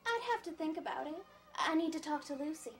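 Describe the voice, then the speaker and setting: A woman's voice: sits high, animated, fast, soft background music. A woman playing a young, polite, somewhat hesitant dance-hall girl in a drama. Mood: hesitant, curious. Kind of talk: dramatic dialogue in an audio play or table reading.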